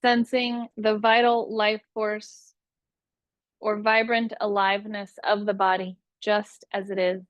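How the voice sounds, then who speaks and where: A woman teaching a guided yoga nidra meditation, giving soothing, unhurried instructions with long pauses between phrases. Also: medium pitch, level tone, no echo, dull audio.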